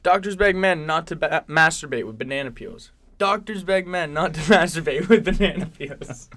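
Man, podcast co-host, slightly high voice, casual, reads news, stumbles over words. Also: moderate pace, fairly bright tone. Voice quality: laughs often, stumbles on words. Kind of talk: casual podcast chat and reading news items.

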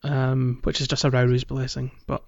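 A dull, very dry close recording of a man with a mid-pitched voice. He co-hosts a casual podcast, explaining things in a halting, conversational way. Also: flat delivery, gravelly, no room sound. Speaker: podcast co-host. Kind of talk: casual game-walkthrough podcast chat.

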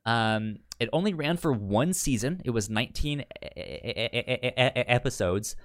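A man's voice: medium pitch, lively intonation, normal speed, fairly bright tone, no echo, studio quality. A man co-hosting a podcast in casual, enthusiastic, nerdy chat, often stuttering and repeating sounds on words. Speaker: podcast co-host.

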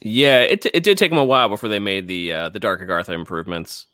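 A man's voice: lowish voice, very animated, quick pace, a bit bright, dry recording, clean studio sound. A man co-hosting a casual gaming chat in an easygoing, agreeable way. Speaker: talk show co-host.

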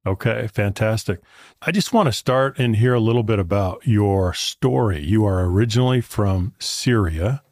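Host: man, lowish voice, dry close sound, courteous and articulate. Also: moderate pace, darkish tone, no room sound. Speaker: podcast host. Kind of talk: podcast introduction and interview.

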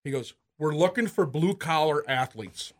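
Higher-voiced middle-aged American man telling stories. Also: expressive, normal speed. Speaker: high school coach, podcast guest. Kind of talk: casual anecdotal storytelling.

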